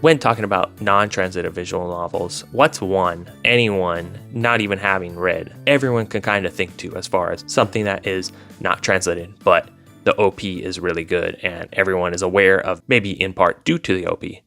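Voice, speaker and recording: A man's voice: sits low, fairly even intonation, normal speed, fairly bright tone, soft background music. A man chatting casually as a video host, rambling along in an informal, filler-heavy way. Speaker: YouTube host discussing visual novels.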